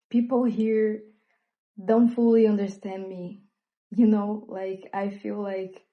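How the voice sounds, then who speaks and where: A young woman with a Brazilian accent, native in Portuguese, talking openly and reflectively in a personal vlog, with frequent fillers and pauses. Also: mid-range voice, narrow, tinny audio. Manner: reflective, hesitant with many fillers. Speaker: vlogger. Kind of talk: candid personal monologue.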